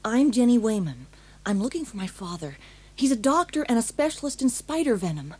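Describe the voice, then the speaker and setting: Nervous young woman, audio drama. Urgent, breathy, very lively pitch. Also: a little fast. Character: earnest, nervous young woman seeking her missing father.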